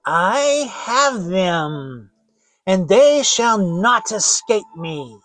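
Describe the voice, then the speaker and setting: Guy doing a wicked old witch lady, thin high swoopy voice, spiteful but sickly sweet, fairytale style. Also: sing-song, extremely slow, fairly bright tone, no echo, exaggerated voice. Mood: gloating. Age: older.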